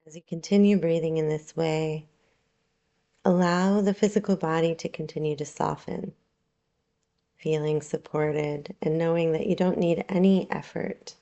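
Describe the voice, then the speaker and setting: Woman, yoga nidra guide, low-pitched, gravelly fry, soothing, unhurried, guided meditation delivery. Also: dull audio. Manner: calm, soothing, unhurried instruction with long pauses.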